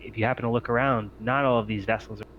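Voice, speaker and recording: A man voicing a very calm, hesitant Australian male synthetic aboard a stranded spaceship in a tabletop game. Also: medium pitch, fast, highs cut off, a little hiss or hum, natural voice. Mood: calm, dryly resigned.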